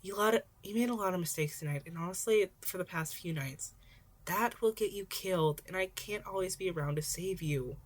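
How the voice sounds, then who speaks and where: Stern adult male hero, voiced by a female reader, lowish register, flat deadpan delivery, audiobook character work. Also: brisk, natural voice. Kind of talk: audiobook-style fan fiction character acting.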